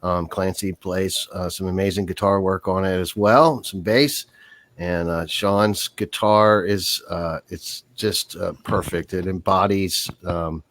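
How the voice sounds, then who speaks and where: An older man with a lowish, slightly hoarse voice hosts a casual podcast, rambling along with plenty of ums and uhs. The sound is dry and close. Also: steady tone, a little slow, fairly bright tone, no room sound. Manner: easygoing, rambling with many fillers. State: hoarse, voice giving out. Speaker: podcast host. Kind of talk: casual live podcast chat.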